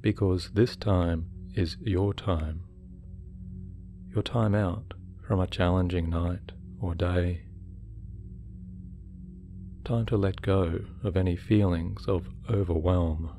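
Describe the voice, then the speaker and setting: A man guiding a hypnosis meditation in a soothing, measured way with deliberate pauses. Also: low-pitched, dark tone. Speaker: hypnotherapy guide. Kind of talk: guided meditation, hypnosis narration. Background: relaxation music.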